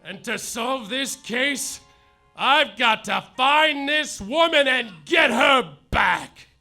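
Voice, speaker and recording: A man acts out a melodramatic detective narrating his own noir parody on stage. His voice is strikingly high and swoops wildly, bright and declamatory, full of wounded bravado. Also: lively intonation, slow, gravelly, faint music behind, full character voice. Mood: defiant. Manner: overwrought, declamatory. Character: melodramatic heartbroken male private detective, boastful and defiant. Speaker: lead actor and narrator.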